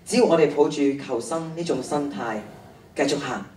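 A man's voice: fairly high pitch, moderate pace, a little mellow, natural voice. Echoey teen boy acting a troubled schoolboy hiker. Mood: reflective. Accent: Hong Kong Cantonese. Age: teenager. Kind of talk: radio drama acting. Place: stage of a large hall. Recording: echoey stage, distant mic, faint noise.

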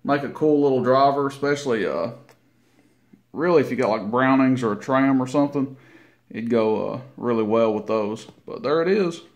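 A man giving a casual, unhurried hands-on demonstration in a folksy, informal way. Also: mid-range voice. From Tennessee, United States. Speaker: CB radio hobbyist presenting his equipment. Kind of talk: casual hands-on demo narration. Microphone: handheld camera mic, operator behind it.

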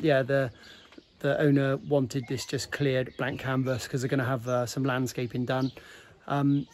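A man's voice: medium pitch, darkish tone, a little hiss or hum. A man in his thirties, a gardener, talking to a handheld phone camera outdoors in a back garden, plain and matter-of-fact with plenty of ums. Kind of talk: casual work vlog. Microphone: phone camera at arm's length.

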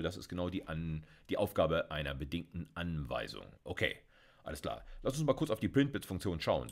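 A man's voice: low voice, creaky. A middle-aged man giving a recorded university lecture on programming, talking through slides in a chatty way with many fillers. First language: German. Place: small dark room at a desk.

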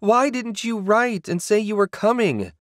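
A man voicing a spirited, tough young woman warrior with a brisk, emphatic manner. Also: high voice, no room sound, clean studio sound, voice pushed up. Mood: delighted surprise.

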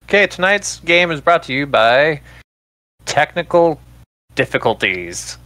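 A man's voice: on the high side, very animated, measured pace, pushed and bright. A man playing in a tabletop game, joking around and teasing the game master about the recap, on a voice call. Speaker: player. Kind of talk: casual chat at a game table.

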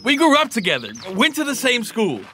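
Man acting a young soldier, forward bright voice, earnest, loyal, courteous, audio drama, faint music. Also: extremely high pitch, wildly swooping pitch, a little fast, heavily acted, rough recording. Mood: friendly.

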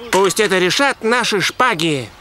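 A man's voice: sits high, animated, moderate pace, background noise. A man voicing a brave, hot-headed young dog who wants to be a musketeer, earnest and declamatory in a dubbed cartoon. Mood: defiant. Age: young adult.

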